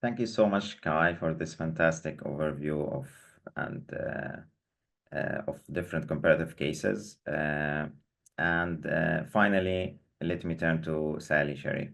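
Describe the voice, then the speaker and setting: A man moderating a webinar over a video call, thanking a speaker and halting with fillers as he hands over. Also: a bass voice, creaky, a bit bright. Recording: distant mic.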